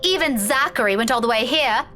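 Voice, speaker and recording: Visual novel role, actress: headstrong young woman, bright forceful tone, very expressive, exasperated and cutting. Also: sits high, brisk, faint music behind. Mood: angry reproach. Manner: sarcastic, accusing.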